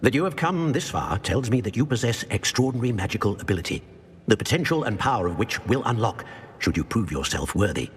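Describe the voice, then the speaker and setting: A solemn old wizard in a fantasy game, speaking low and very husky. He is formal and measured, a grave keeper of secrets, and his words ring in a long hall reverb. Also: sing-song, full character voice. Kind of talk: video game voice acting.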